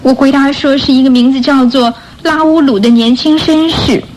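An actress as Clara, high-voiced repentant girl thief. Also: steady tone, moderate pace, a little mellow, close to an everyday voice, rough recording. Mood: explaining. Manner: pleading, hesitant, earnest. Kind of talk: radio drama acting.

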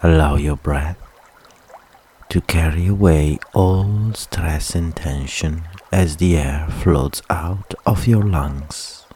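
A man with an extremely low, mellow voice guides a relaxation meditation. He speaks soothingly and very slowly, leaving long pauses between instructions. A faint trickle of water runs behind him. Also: slight background noise.

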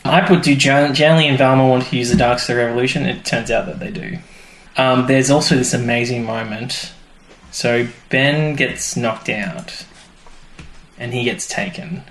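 A man's voice: medium pitch, moderate pace, fairly bright tone. A man co-hosting a podcast, chatting casually and playfully as he recaps a TV show. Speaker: podcast co-host. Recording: roomy echo, faint background noise.

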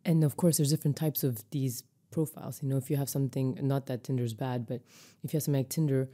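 Very low-pitched, dark-voiced woman. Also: fairly even intonation, quick pace. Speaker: podcast guest. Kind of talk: conversational explaining.

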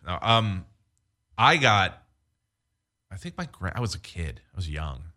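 Deep-voiced deadpan joking man. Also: measured pace. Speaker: podcast guest, filmmaker. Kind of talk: casual chat.

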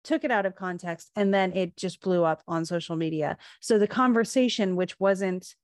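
A woman's voice: fairly low pitch, normal speed, dry recording, studio quality. A woman co-hosting a casual spiritual podcast, explaining at length with lots of fillers and restarts. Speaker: podcast co-host, astrologer.

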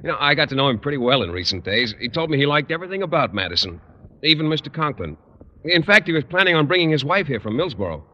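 A man's voice: fairly high pitch, big pitch swings, fast talker, bright, forceful tone, heavily acted. An actor playing a mild, earnest, slightly hesitant male teacher in a vintage radio comedy, polite and naive. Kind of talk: 1950s radio situation comedy acting. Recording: dull old radio recording.

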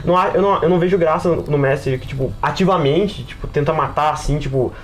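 Brazilian man, podcast panelist. High-pitched, rapid, slangy and rambling. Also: a little hiss or hum. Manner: animated rambling explainer, heavy on slang. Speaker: podcast panelist and game master. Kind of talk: casual podcast discussion.

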